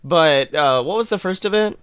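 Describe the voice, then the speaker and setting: A man's voice: fairly high pitch, moderate pace, clean tone, no room sound, clean studio sound. A man hosting a podcast, chatting casually and ranting about things in an exasperated, sweary, comic way. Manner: animated, exasperated, sweary complaining. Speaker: podcast host. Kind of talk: casual chat, comic film rant.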